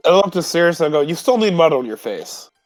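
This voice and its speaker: Fairly high-voiced man roleplaying casually. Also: sing-song, quick pace, dry recording, faint music behind. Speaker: tabletop game player.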